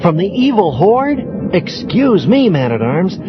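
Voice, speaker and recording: A man's voice: high-pitched, big pitch swings, unhurried. A man playing an eager young prince in a children's storybook record drama. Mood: concerned, hurried. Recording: muffled old storybook record with music.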